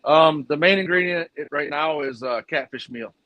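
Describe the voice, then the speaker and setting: A man's voice: on the high side, normal speed, bright, forceful tone, low-quality recording. A man who runs a fish food business, answering interview questions in a plainspoken, rambling way with fillers.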